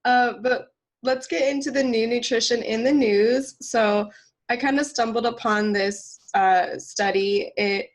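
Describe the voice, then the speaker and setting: A woman's voice: fairly high pitch, steady tone, a little slow. A woman with a congested cold voice co-hosting a nutrition podcast in casual chat, laughing often and using lots of fillers. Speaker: podcast co-host.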